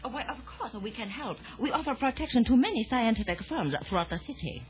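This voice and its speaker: A businesslike woman receptionist, acted by a woman, rattles along quickly in a highish voice. The vintage audio drama sound is dull. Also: animated, close to an everyday voice. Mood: polished, helpful. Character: smooth-talking, businesslike female receptionist. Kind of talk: 1980s children's audio drama acting. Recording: dull lo-fi 1980s tape.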